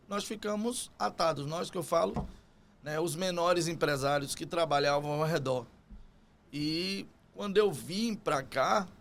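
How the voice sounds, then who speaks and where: Podcast guest: male business owner with a Bahian accent, slightly high-pitched voice, talking through his story in conversation. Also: gravelly, a bit bright, slight background noise. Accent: Bahian Brazilian Portuguese. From Salvador, Bahia, Brazil.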